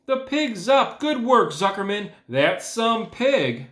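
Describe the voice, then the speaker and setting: A man voicing a jubilant fairground spectator in a storybook, yelling out cheers. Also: sits high, big pitch swings, takes it slowly, a little hiss or hum, pitched above the performer's own voice, exaggerated voice. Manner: yelling, exclamatory. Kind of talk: storybook character voice. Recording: echoey room.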